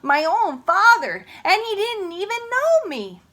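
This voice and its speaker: Woman voicing a bold, bossy little girl, high-pitched. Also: very animated, measured pace, fairly bright tone, exaggerated voice. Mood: indignant. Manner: bold, emphatic.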